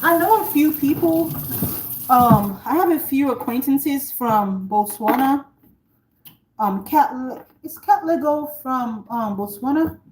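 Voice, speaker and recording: A woman's voice: high-pitched, lively intonation, slow, a little mellow, slight background noise. A woman from Nigeria, an author hosting a casual livestream, chatting and rambling to viewers while she cooks at home. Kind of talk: casual live-stream chat with viewers. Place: home kitchen. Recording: roomy, distant, running tap water.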